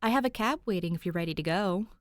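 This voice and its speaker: A woman's voice: lowish voice, lively intonation, fast talker, no echo, close to an everyday voice. Protective young policeman, played by a woman, earnest and apologetic, recorded dry for a fan fiction audiobook. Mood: relieved. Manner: placating, worried, pleading.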